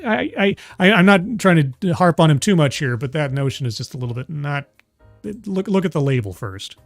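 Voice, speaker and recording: A man's voice: fairly high pitch, sing-song, fairly quick, dry recording, faint music behind. A man hosting a casual chat show, who stammers and restarts often while arguing his point. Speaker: host.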